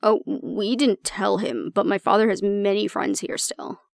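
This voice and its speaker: A woman playing an anxious young woman with a tough front and a soft core, confiding casually and with swearing in an intimate audio roleplay. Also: medium pitch, fairly even intonation, unhurried, creaky, dry recording, studio quality. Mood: bitter, resentful.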